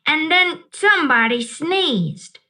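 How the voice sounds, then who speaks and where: A woman's voice: fairly high pitch, expressive, slow, pushed and bright, full character voice. A woman voicing a tiny little girl who insists in a piping voice. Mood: insistent.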